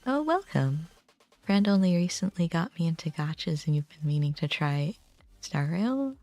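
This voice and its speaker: A woman livestreaming a game, chatting casually and thinking aloud in a halting way. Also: fairly low pitch, big pitch swings, darkish tone. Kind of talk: casual chat while playing a game. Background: game music.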